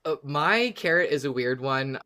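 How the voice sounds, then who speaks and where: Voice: mid-range voice, animated, moderate pace, pushed and bright. A podcast co-host who talks about a story in an emphatic, dramatic way, as a fan of it. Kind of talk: scripted recap, then animated fan discussion.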